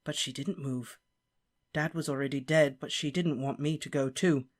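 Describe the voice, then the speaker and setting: Brief: earnest teenage boy wizard, quiet but insistent, read by the narrator. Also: mid-range voice, fairly even intonation, moderate pace, close to an everyday voice. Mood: determined.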